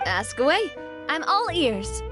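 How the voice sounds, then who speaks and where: A woman's voice: high-pitched, big pitch swings, takes it slowly, over music, exaggerated voice. A woman playing a sunny, confident young crew leader in a video game, breezy and eager to help. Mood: upbeat. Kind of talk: video game dialogue acting.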